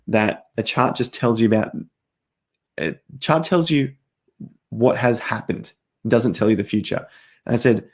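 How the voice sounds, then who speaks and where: A man hosting a casual crypto live stream, walking through charts while hoarse from losing his voice, clearing his throat often. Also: on the low side, darkish tone. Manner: throat clearing, rambling explanations.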